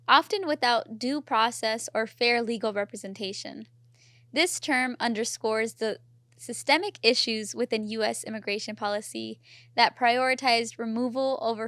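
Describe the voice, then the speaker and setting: A woman's voice: fairly high pitch, fairly bright tone, no echo. A woman hosting a podcast, first reading a prepared script and then chatting casually with her guest using fillers. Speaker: podcast host. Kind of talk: scripted intro then casual interview chat.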